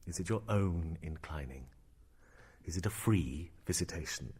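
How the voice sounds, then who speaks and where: An actor playing a brooding, sardonic young prince who feigns madness, in theatrical and measured Shakespearean delivery with hushed asides. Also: male voice, low voice, expressive, slightly rough, heavily acted. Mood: wry, probing. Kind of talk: Shakespearean stage acting in verse and prose.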